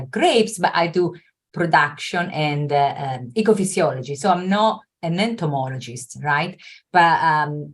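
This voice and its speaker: A middle-aged woman with an Italian accent, a researcher answering interview questions in a steady explanatory way, with frequent fillers. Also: low-pitched. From Italy. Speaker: viticulture researcher guest.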